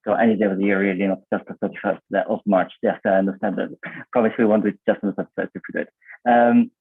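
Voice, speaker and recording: Italian-accented male moderator, low husky voice, hesitant, tinny dry call audio. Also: flat delivery, racing, no echo. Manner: hesitant, many "um" and "uh", reads out questions. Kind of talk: moderating a webinar. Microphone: video call.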